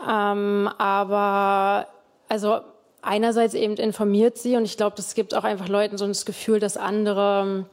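A woman's voice: medium pitch, a little mellow. A young woman from Germany, a guest in an interview, explaining at length with many fillers and restarts. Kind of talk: conversational interview.

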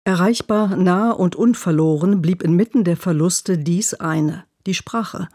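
A woman's voice: lowish voice, unhurried, dark tone, no room sound, clean studio sound. A woman narrating a scripted radio book review in a composed, literary manner. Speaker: literary critic.

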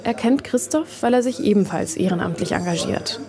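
A woman's voice: medium pitch, expressive, fairly quick, dark tone. A woman reporter reads scripted narration for a radio reportage.

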